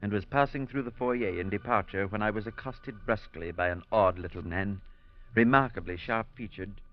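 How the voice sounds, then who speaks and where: An actor playing a reflective, gentle priest who narrates and talks in 1940s radio-drama style. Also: male voice, fairly low pitch, normal speed, close to an everyday voice. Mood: solemn. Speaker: clergyman narrator. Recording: dull old radio broadcast.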